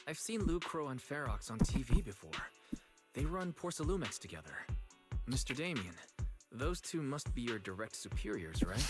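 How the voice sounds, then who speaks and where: A man playing a calm, perceptive young protagonist in a video game, observant and lightly teasing. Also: medium pitch, moderate pace, over loud music, close to an everyday voice.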